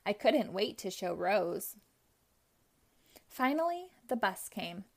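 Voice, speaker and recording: A woman reading a children's picture book aloud, narrating in a gentle, expressive storytelling manner. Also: medium pitch, takes it slowly, creaky. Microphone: audio only, close mic.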